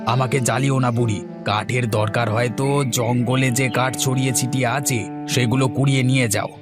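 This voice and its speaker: A man voicing an impatient village woodcutter in a children's cartoon, gruff and dismissive in manner. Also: medium pitch, level tone, moderate pace, close to an everyday voice. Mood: irritated. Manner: irritable, dismissive. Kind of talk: Bengali children's cartoon dubbing. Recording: faint music behind the voice.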